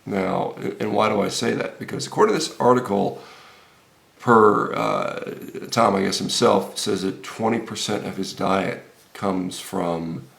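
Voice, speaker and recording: An American man in his fifties giving informal, unscripted video commentary as a health vlogger, halting often with hesitations and repeated words. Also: lowish voice, creaky, fairly bright tone. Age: 50s. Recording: roomy, echoey room sound.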